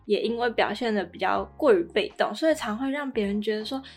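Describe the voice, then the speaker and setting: A woman's voice: medium pitch, quick pace. Young Taiwanese Mandarin woman podcasting over music. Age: early 20s. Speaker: podcast co-host. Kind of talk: casual explanatory podcast chat. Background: background music.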